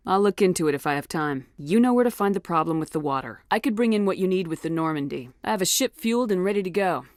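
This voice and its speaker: A woman's voice: on the low side, no room sound, clean studio sound, natural voice. An actress playing a tough, resolute woman starship commander in a video game, speaking bluntly and with authority. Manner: blunt, authoritative, clipped questioning.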